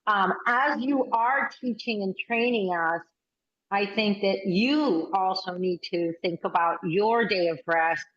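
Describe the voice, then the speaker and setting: Woman, 60s, chatty and animated, mid-pitched, roomy slightly dull call audio. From United States. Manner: chatty, animated storyteller, quick bursts. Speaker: workshop participant. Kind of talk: casual video call chat. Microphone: video call.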